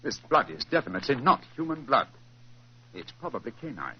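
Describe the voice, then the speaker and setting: A man playing a brisk, commanding English detective in a 1940s radio drama, speaking in a clipped, decisive way. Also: mid-range voice, very animated, breathy edge. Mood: excited, decisive. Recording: muffled, noisy old 1940s radio recording.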